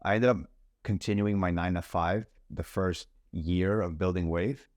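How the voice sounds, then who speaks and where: A man's voice: low voice, steady tone, normal speed, a little mellow, dry recording. A young man from Lebanon, a startup founder, chatting casually on a podcast in a laid-back way, full of "like" and "bro". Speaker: startup CEO guest.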